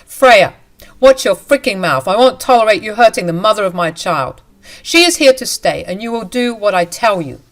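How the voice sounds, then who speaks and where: A woman voicing a loud, domineering husband. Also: lowish voice, lively intonation, normal speed. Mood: angry. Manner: loud and demanding. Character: angry domineering husband, entitled and scornful.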